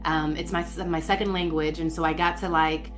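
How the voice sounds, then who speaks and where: A woman guest with a doctorate, speaking in an articulate, reflective way with quick bursts of speech. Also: low voice, steady tone, soft background music. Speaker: podcast guest, leadership coach with a doctorate. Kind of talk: reflective interview talk.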